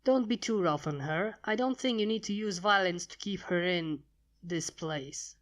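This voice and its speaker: A woman's voice: on the low side, a little slow, lightly acted. A woman voicing a caring, slightly anxious female unicorn pony in a soothing way for a story. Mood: worried.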